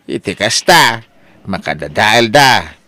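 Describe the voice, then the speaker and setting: A man's voice: on the low side, sing-song, takes it slowly, pushed and bright, no echo, full character voice. A man playing a patient, wise elderly father giving counsel with sighs, in an Ilocano audio drama with an Ilocano accent. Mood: troubled, advising.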